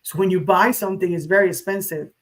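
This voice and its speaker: A woman's voice: on the low side, fairly even intonation, fast. A woman speaking as an interview guest, emphatic and opinionated, addressing the listener directly in a conversational way. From San Juan, Puerto Rico. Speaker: interview guest, social media crypto educator.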